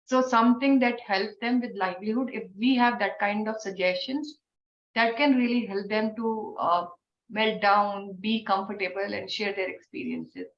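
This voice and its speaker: A woman with an Indian English accent, hoarse from a cold, giving thoughtful advice in an online training Q&A with many fillers. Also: mid-range voice, normal speed. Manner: thoughtful, advisory, frequent fillers. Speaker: invited expert speaker. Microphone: video call. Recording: dull, roomy online call audio with faint noise.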